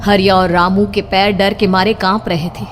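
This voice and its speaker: A woman's voice: mid-range voice, flat delivery, moderate pace, noisy background. A woman delivering scripted narration of a horror story. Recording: clear music under the voice.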